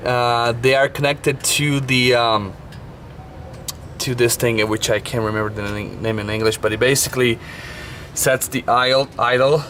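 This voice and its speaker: A man's voice: mid-range voice, fairly even intonation, moderate pace, a bit bright, a little hiss or hum. A man with a Brazilian Portuguese accent, a native Portuguese speaker, casually showing his car on a handheld phone camera and often searching for words with ums and uhs. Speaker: car enthusiast vlogger. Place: around a parked car.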